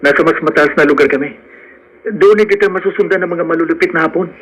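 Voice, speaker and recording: A man's voice: a little high, fast talker, a bit bright. An actor playing an earnest, lovestruck poor young man in a Filipino radio drama. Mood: earnest, urgent. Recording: music behind.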